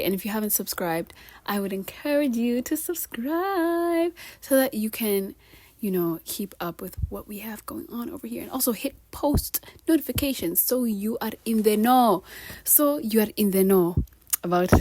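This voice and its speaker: Young female vlogger, mid-pitched voice, light Kenyan-tinged accent, animated storytelling, dry close-miked room. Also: no echo. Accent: Kenyan-tinged American. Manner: animated, acts out quoted voices and laughs often. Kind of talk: casual chat to camera.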